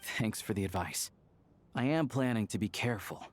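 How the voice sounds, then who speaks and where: An actor playing an easygoing, dependable young theater worker in a video game, speaking in a plain, informal, steady way. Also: male voice, fairly low pitch, moderate pace. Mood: calm, resolute.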